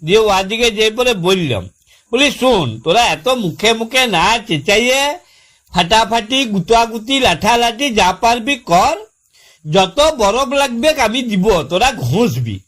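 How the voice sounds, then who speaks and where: A man's voice: high-pitched, sing-song, measured pace, fairly bright tone, exaggerated voice. A man playing an aggrieved village husband in a comic phone-call skit, telling long-winded, folksy complaints in a rural West Bengal accent. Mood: exasperated, rambling. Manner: folksy, long-winded storytelling with exasperated repetition. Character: aggrieved village husband complaining about his new fridge.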